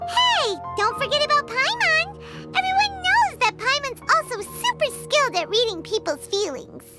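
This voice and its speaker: A woman's voice: extremely high pitch, big pitch swings, moderate pace, over loud music. A woman voicing a tiny, childlike fairy companion in an anime game, perky and boastful. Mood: indignant, boastful. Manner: refers to self in third person, perky.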